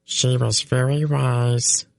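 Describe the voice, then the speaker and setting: A man's voice: medium pitch, flat delivery, takes it slowly, creaky, dry recording, pitched above the performer's own voice. A man voicing a small furry male fenling creature with a piping, chittering voice and formal, earnest speech. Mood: solemn. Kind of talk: audiobook character voice.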